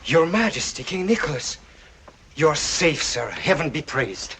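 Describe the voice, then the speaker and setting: A formal, deferential royal envoy in a 1940s film. His voice is slightly high, and his delivery is stiffly courteous and ceremonious, with faint noise behind it. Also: big pitch swings, a little slow, sharp tone, heavily acted. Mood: reverent. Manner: stiffly courteous, ornate phrasing. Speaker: supporting film actor.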